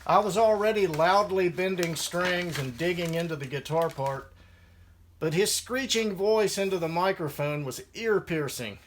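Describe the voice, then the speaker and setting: A man's voice: high-pitched, unhurried, slight background noise. A man reading his own first-person memoir aloud as a musician, in a wry storytelling manner.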